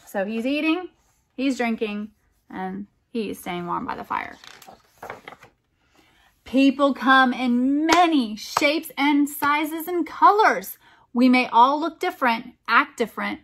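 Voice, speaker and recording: A middle-aged American woman reads a storybook to small children in a fairly high voice. She takes it very slowly, stressing key words and slipping in conversational asides. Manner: animated, emphatic, deliberate phrasing for young children. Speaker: children's storytime reader, teacher. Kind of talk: expressive picture-book read-aloud with chatty asides.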